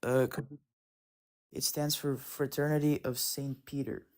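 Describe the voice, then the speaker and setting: A man in his early twenties chatting casually as a caller, hesitant and halting in his delivery. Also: mid-range voice, flat delivery, a little mellow. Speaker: guest caller. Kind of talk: casual chat.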